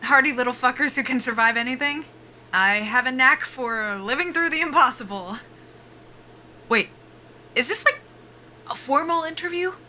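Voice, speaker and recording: A woman's voice: high voice, normal speed, pauses often, pushed and bright, a little hiss or hum. A woman acting a nervy, foul-mouthed young soldier in a sci-fi audio drama, wry and defiant in a casual, joking way. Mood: nervous, joking. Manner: sardonic, casual, sweary, joking under nerves.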